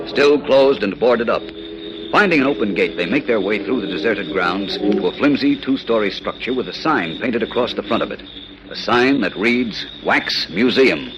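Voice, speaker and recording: A man's voice: lowish voice, noisy background. A man narrating an old-time radio serial in a theatrical, suspenseful scripted style. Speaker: narrator, announcer. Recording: vintage radio recording. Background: loud dramatic music.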